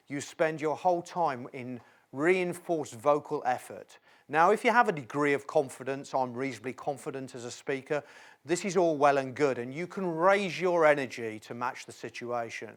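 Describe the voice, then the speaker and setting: Higher-voiced man presenting a tutorial. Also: fairly bright tone. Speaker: instructor, presenter. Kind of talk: explanatory video tutorial.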